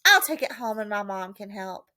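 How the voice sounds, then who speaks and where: A woman's voice: mid-range voice, lively intonation, moderate pace, pushed and bright, no room sound, clean studio sound. A woman as a stubborn, hot-tempered young boy.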